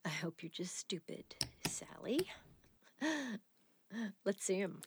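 An actress playing a menacing, mocking female crime boss in an audio drama, with a taunting, theatrical, drawn-out delivery. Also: medium pitch, very animated, background noise. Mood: suspicious, menacing.

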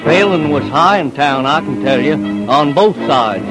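A man's voice: a little high, expressive, a little slow, rough recording. A man telling a story in a folksy narrating style. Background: loud music.

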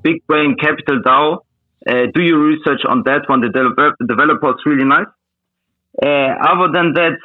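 A man whose first language is Russian, chatting casually as an interview guest, halting and searching for words in English. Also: medium pitch, no echo. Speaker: interview guest, meme coin trader. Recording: thin phone-like voice-call audio.

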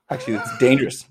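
Rambling Canadian-raised podcast co-host. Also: male voice, slight background noise. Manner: rambling, self-correcting, laughs easily. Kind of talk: casual podcast chat.

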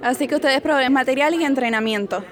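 A woman's voice: sits high, fast, a faint crowd. A woman, interviewing a guest with short, friendly questions.

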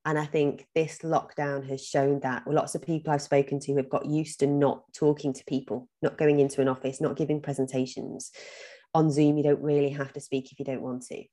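A woman's voice: low voice, flat delivery, fairly quick, mellow. A woman, talking earnestly in a podcast interview, with frequent ums and some repeated words. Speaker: podcast guest. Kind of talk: reflective interview talk.

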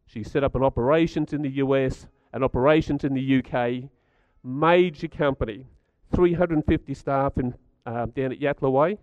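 Middle-aged man with a croaky voice, chatty talk to an audience, mid pitch, dry muffled recording. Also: moderate pace, hardly any pauses, a little mellow, dry recording. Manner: chatty, stats-driven, asks the audience questions. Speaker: speaker at a community gathering.

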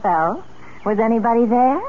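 A possessive young sweetheart, played by an actress, talks breezily and insistently, her pitch rising and falling widely. The old radio sound is dull with faint noise. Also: animated, normal speed, close to an everyday voice. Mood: expectant. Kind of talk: 1970s radio drama acting. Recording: dull, noisy 1970s radio recording.

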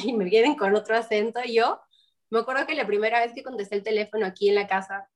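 A woman from Peru in her twenties, telling her story in an animated, chatty way during an interview. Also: fairly high pitch, fast. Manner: animated, storytelling with quoted voices. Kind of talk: casual interview chat.

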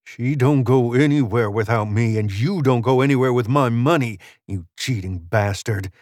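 Gruff, cocky frontier gambler with a short fuse played by a male narrator, mid-pitched, dry storybook recording. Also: normal speed, a little mellow, no echo, lightly acted. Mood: threatening. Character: swaggering, hot-tempered male card cheat and outlaw.

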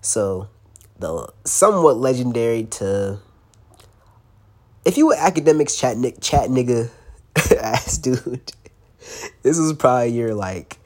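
A man's voice: medium pitch, expressive, slow pace, long pauses, darkish tone. A young man with a cold hosts a casual solo podcast, sounding stuffy and congested, and talks in a loose, slangy way with plenty of swearing. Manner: loose and slangy, swearing, laughing now and then. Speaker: podcast host.

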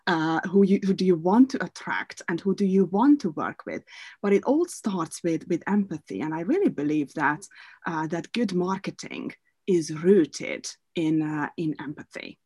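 A woman hosts a podcast interview in a low, husky voice, recorded dry and close. She asks questions in a thoughtful way and often pauses to hesitate. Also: a little mellow, no room sound. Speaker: podcast host.

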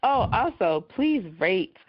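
A woman's voice: on the high side, sing-song, slow, no room sound, dull audio. A woman co-hosting a podcast in casual chat, with a dry way of talking. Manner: dry delivery. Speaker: podcast co-host.

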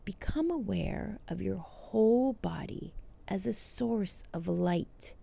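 A woman leading a guided meditation as host, speaking soothingly, with phrases that often trail into a breathy near-whisper. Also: medium pitch, animated, takes it slowly, dark tone.

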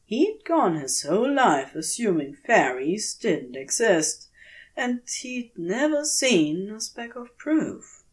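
A woman narrating scripted fiction in a measured, dramatic storytelling manner. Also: fairly low pitch. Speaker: audiobook narrator.

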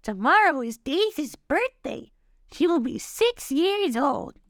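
Gentle young storybook mother: motherly, very high, lively melodic delivery, dry sound. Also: slow, no echo, exaggerated voice. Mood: gentle, cheerful. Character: kindly gentle young mother of little monster.

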